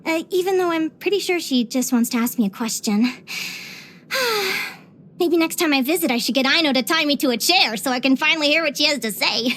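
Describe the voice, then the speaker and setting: High-voiced bubbly young adventurer girl. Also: normal speed. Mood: sheepish, fond. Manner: expressive, chirpy, with sighs and giggles. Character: bubbly young female adventurer and naturalist, curious and sweet. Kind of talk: scripted video game character voice lines.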